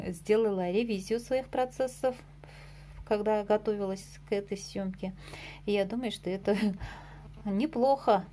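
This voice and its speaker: A woman chats about her embroidery in a homemade vlog, talking at a mid pitch with plenty of fillers and small self-deprecating asides. A faint hiss of room noise sits under her voice. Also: mellow. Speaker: embroidery vlogger. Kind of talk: casual show-and-tell vlog.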